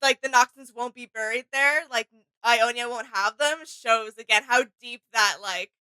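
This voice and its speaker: A woman co-hosting a casual reaction video, talking haltingly as she searches for her words. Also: a little high, sharp tone, clean tone, no room sound, low-quality recording.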